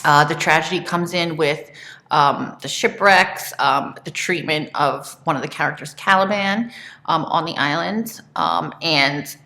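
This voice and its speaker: A woman presenter giving an informal literary lecture in a halting, explanatory way. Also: sits low, sharp tone. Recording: roomy, echoing sound.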